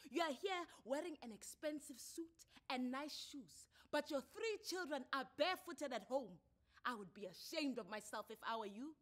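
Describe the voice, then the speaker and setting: A woman's voice: sits high, animated, normal speed, a bit bright, pitched above the performer's own voice. A woman with a South African accent playing a furious, scornful estranged wife in a story reading. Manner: scolding, declamatory.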